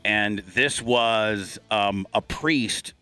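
An American man hosting a talk show with casual, jokey banter, telling things in an animated, colloquial way. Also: on the low side, extremely slow, clear, resonant tone, dry recording, soft background music. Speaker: radio podcast host.

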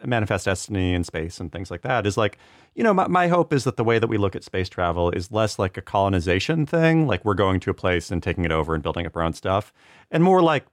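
Man guest, comedy writer type, low and lively, loose rambling delivery. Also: fast talker, no echo, studio quality. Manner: rambling, self-deprecating, laughs often. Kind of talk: casual podcast chat.

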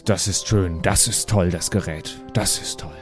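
Lower-voiced man bantering at the gaming table. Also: fairly even intonation, normal speed, soft background music. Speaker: player in a tabletop role-playing audio show. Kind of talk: casual improvised table banter.

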